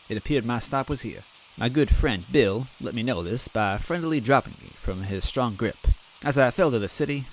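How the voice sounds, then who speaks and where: A man reading a first-person story aloud in a soft, wistful, gently comic way. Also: fairly low pitch, slight background noise, poor audio.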